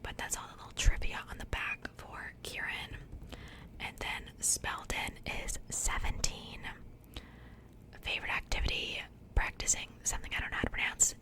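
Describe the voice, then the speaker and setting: Female ASMR host, breathy whisper throughout, casual and chatty unboxing commentary, unhurried delivery.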